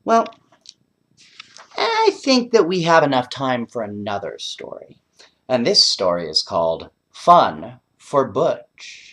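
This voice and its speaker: A man tells bedtime stories to children at a slow pace. His pitch is average for a man but moves around a great deal, and he speaks expressively, straight to his young listeners. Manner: expressive, addresses children directly with questions. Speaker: children's storyteller. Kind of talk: bedtime story read aloud and chatting to young listeners.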